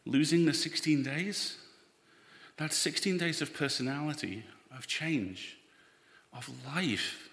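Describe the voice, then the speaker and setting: A man playing an anxious, earnest spaceman in a live audio drama, halting and pleading as he speaks. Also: fairly high pitch, a bit bright. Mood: grieving, pleading. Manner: halting, repeats words, pleading. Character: anxious, earnest spaceman devoted to his ship's AI. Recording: echoey hall, live stage recording.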